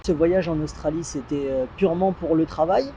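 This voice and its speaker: Male interviewer, high pitch, conversational questions, soft tone, faint outdoor noise. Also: fairly quick, highs cut off.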